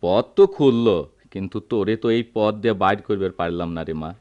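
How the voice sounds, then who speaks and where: A man's voice: on the low side, quick pace, darkish tone, clean tone, highs cut off, natural voice. An actor playing an aggrieved, fiery old village father and widower in a Bengali radio drama, lamenting emotionally in a rural Bangladeshi dialect. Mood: bitter, sorrowful.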